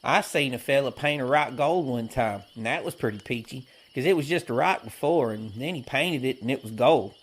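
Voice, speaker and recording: A man's voice: mid-range voice, normal speed, hardly any pauses, breathy edge, natural voice. A man playing a gullible, earnest and stubborn simpleton cowboy in a western audio comedy, talking in a naive rural American drawl. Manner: folksy, naive, ungrammatical drawl.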